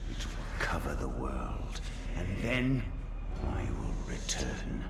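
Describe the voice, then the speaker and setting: A deep, slow-talking undead torturer from a video game, savouring every threat with theatrical sadism. His voice echoes over loud rumbling noise. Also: big pitch swings, gravelly, exaggerated voice. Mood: mocking triumph. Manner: slow, savouring, gloating menace. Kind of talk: video game villain acting.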